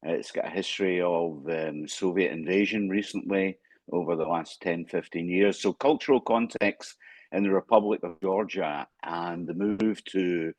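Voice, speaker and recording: A Scottish man speaking as a panelist, pausing often with fillers and restarting his sentences. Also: low-pitched, a little mellow, dry recording. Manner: halting, frequent fillers and restarts. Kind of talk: conference panel remarks.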